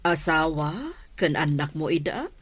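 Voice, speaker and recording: A woman's voice: deep voice, big pitch swings, a little slow, breathy edge, full character voice. A woman with an Ilocano accent playing a generous, caring well-off madam in a radio drama. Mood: concerned, caring. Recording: busy crowd sound behind the voice. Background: crowd sounds.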